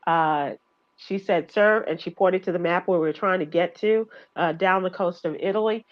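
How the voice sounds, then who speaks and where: A woman's voice: fairly low pitch, moderate pace, highs cut off. A woman radio host telling travel stories in casual chat, laughing and acting out voices as she goes. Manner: chatty, laughing, acts out voices in her stories.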